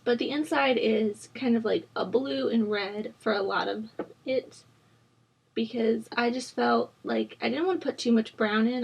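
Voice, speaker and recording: Hoarse young woman chatting casually. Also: fairly high pitch. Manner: rambling, chatty, many ums and likes, laughs at herself. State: hoarse from a sore throat. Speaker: Sims building channel host. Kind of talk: casual voice-over chat during a speed build.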